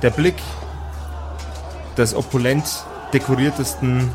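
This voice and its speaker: A male game master tells a fantasy scene slowly and deliberately, breaking it into short dramatic phrases. His mid-pitched voice sits over prominent background music. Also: steady tone, crowd noise. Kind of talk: improvised dramatic narration for a tabletop audio play.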